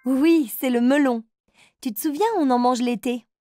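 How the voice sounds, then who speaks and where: A woman's voice: high voice, moderate pace, dark tone, no room sound, clean studio sound, soft background music, close to an everyday voice. A woman voicing a kind, encouraging grown-up who leads a riddle game for little children in a cartoon, speaking in simple phrases. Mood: kindly, explaining.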